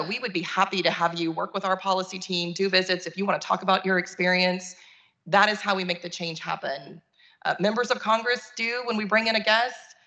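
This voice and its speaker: A woman's voice: lowish voice, gravelly, sharp tone. A woman giving a conversational, earnest keynote talk over a video call. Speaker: keynote speaker, nonprofit chief executive.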